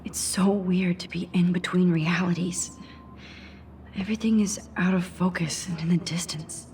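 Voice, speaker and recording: A woman voicing an anxious, introspective teenage girl in a video game, speaking softly and often half-whispering to herself. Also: lowish voice, steady tone, moderate pace, a little hiss or hum, close to an everyday voice. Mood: hushed, nervous excitement. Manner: soft, breathy, half-whispered musing. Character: anxious, soft-spoken teenage girl student, introspective time traveler. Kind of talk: video game acting, inner monologue and dialogue.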